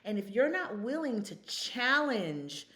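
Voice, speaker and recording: A woman's voice: on the high side, big pitch swings, a little slow, sharp tone. A woman in her fifties speaking as a podcast guest, emphatic and storytelling, full of rhetorical questions. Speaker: guest, consultant. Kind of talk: podcast conversation.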